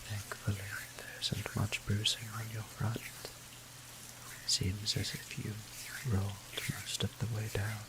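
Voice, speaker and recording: A man playing a gentle, teasing vampire priest in an intimate audio roleplay, speaking softly and breathily to the listener in courteous, old-fashioned phrasing. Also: fairly low pitch, rough recording. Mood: tender, flirtatious. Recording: clear hiss and rain sound behind.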